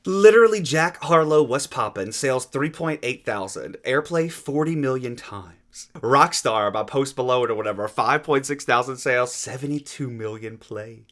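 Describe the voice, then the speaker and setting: A man's voice: mid-range voice, expressive, unhurried, a bit bright. A man giving casual, emphatic reaction commentary, stretching words for effect and swearing freely. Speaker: reaction video host.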